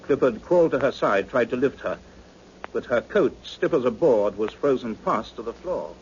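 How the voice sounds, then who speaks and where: An actor playing a grave, fatherly doctor with intense, measured storytelling in a 1960s radio drama. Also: mid-range voice, expressive, close to an everyday voice. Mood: grave, suspenseful. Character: grave, fatherly doctor of science narrating events. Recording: dull, noisy old radio recording.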